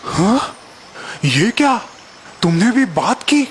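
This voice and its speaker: A man voicing a poor, kindly fisherman husband in a children's cartoon, gentle and earnest. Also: high-pitched, big pitch swings, takes it slowly, a little hiss or hum, heavily acted. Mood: amazed. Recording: reverberant echo under background music.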